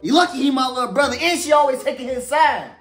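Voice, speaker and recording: A man's voice: very high voice, animated, quick pace. Exasperated young American older brother, acted by a man. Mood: exasperated venting. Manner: slangy, scolding, says bro constantly. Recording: echoey room with loud music.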